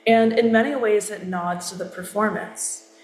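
A woman's voice: mid-range voice, steady tone, normal speed, slight background noise. A woman presenting her creative project, explaining it in a thoughtful way. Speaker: artist presenting her work. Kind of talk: artist talk. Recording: echoey room.

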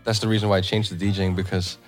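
A man in his thirties, raised in Denmark in a Filipino family, talking casually about music on a radio show, relaxed and laughing often. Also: low voice, racing. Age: 30s. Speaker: DJ and event promoter.

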